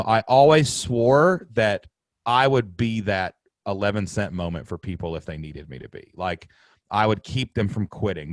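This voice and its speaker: Lively Southern American man hosting. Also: on the low side, dry recording. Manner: animated, emphatic, laughs often. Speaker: podcast host. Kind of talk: casual interview chat.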